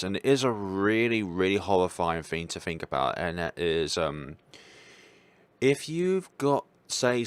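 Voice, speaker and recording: Expressive man narrating a visual novel. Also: deep voice, no room sound. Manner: expressive reading with reflective asides. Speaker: gaming video host.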